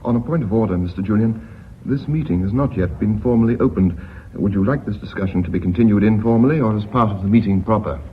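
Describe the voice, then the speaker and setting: An actor playing a punctilious, dry company secretary in a radio drama, measured and formal. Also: male voice, fairly low pitch, natural voice. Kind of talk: British radio drama acting. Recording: dull radio recording with faint noise.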